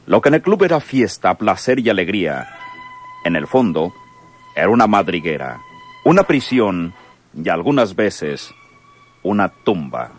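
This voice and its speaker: A man's voice: deep voice, sing-song, unhurried, long pauses, faint music behind. A man narrating a radio serial in a grave, dramatic scripted style. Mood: ominous, grave.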